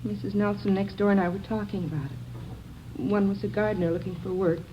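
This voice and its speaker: An actress playing a distraught, worn-out mother of a missing child, halting and anxious, in vintage radio drama style. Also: mid-range voice, steady tone, close to an everyday voice. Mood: weary, subdued. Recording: muffled, noisy old radio recording.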